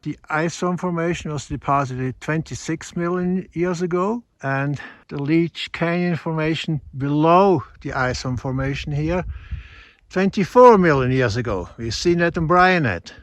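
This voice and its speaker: A man with a Swiss accent, explaining geology outdoors on a mountain hike in a measured, teaching way. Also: fairly high pitch, dry recording. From Switzerland. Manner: measured explanatory, slightly non-native phrasing. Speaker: hiking geology guide. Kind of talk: outdoor geology field narration.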